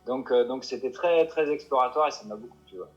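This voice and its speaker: A mountain guide answering interview questions, hesitant and full of restarts and fillers. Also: male voice, mid-range voice, fairly quick, lo-fi sound, soft background music. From Paris suburbs.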